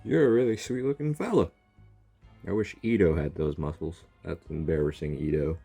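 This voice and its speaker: A man's voice: sits low, normal speed, creaky, dark tone, slightly rough, faint music behind. A man giving casual reaction commentary over gameplay, teasingly talking back to the characters. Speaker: gaming video creator.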